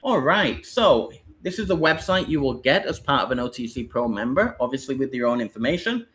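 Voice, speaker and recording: A man's voice: medium pitch, sing-song, fairly quick, a bit bright. A British man leading a live online training session for teachers, explaining in a loose, rambling way.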